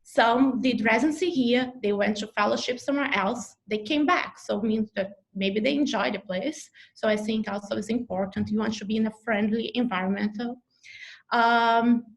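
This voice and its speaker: A woman's voice: on the high side, poor audio. A neurologist from Brazil with a Brazilian Portuguese accent gives advice over a video call. Her Portuguese-first English is hesitant and full of fillers and restarts. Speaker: neurologist faculty member. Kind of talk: advice talk in an online info session.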